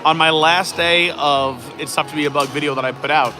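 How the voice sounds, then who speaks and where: A man's voice: fairly high pitch, fairly even intonation, brisk. Joking man hosting a chatty live stream. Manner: chatty and joking, trading quips. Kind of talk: casual chat over a meal. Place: indoor theme-park restaurant. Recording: background music. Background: music.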